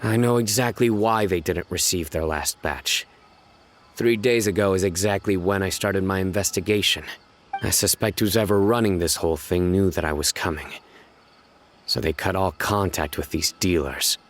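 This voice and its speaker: A man's voice: low-pitched, normal speed, a little hiss or hum, close to an everyday voice. A man playing a grim, relentless young lawman in a video game, speaking in a curt, formal and commanding manner. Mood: stern.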